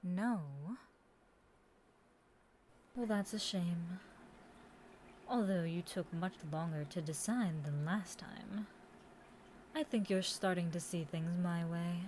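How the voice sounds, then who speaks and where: A woman voicing a commanding, scheming demon queen in a scripted audio roleplay, haughty and taunting, with deliberate, theatrical villain delivery. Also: fairly low pitch, darkish tone, slight background noise. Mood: tempting, coaxing.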